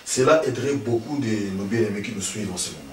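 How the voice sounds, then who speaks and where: Un homme d'âge mûr qui anime l'entretien, ponctue de murmures d'approbation et pose des questions insistantes. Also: male voice, on the low side, fast talker, darkish tone. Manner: frequent affirming murmurs, probing questions. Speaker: interviewer. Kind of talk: religious TV interview. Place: small studio with patterned wallpaper. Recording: roomy, distant, faint hiss.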